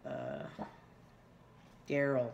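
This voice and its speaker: A woman in her thirties with a hoarse, scratchy voice, chatting casually through an unboxing at home, rambling with many fillers and restarts, picked up by the built-in mic of a camera close by in a small room. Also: rumbling low, level tone, very slow, a little mellow, muffled recording. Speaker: unboxing vlogger. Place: small room indoors at night.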